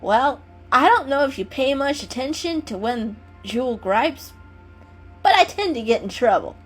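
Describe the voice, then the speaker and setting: A woman's voice: sits high, sing-song, normal speed, faint music behind, voice pushed up. A woman voicing a teasing, mischievous gray male cat in a storybook, with a casual, cheeky manner. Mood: teasing, curious.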